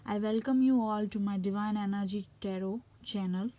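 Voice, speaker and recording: A woman's voice: mid-range voice, mellow. A woman with an Indian English accent and a cold gives a casual tarot reading, with frequent fillers and restarts. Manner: halting, many fillers and restarts. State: has a cold. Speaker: tarot reader.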